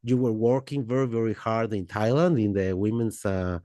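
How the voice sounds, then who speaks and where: A man from Mexico, hosting a podcast interview in a halting way, full of fillers and restarts. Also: lowish voice, warm tone, no room sound, clean studio sound. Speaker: podcast host. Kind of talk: casual interview chat.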